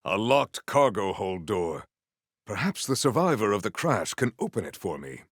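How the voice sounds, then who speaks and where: An actor playing a formal, valiant, earnest warrior hero in a video game, with stately, theatrical diction. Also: male voice, fairly low pitch, very animated, normal speed, no echo, clean studio sound. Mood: thoughtful, serious. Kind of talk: scripted video game character lines.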